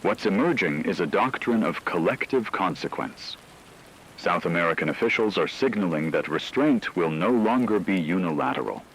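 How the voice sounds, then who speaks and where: A deep-voiced, solemn radio anchor played by a man delivers an emergency news script. The delivery is measured and ominous, with widely moving intonation. A faint layer of noise sits behind the voice. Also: expressive, a bit bright, low-quality recording. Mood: grave, ominous. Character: grave male radio anchor reporting a looming war.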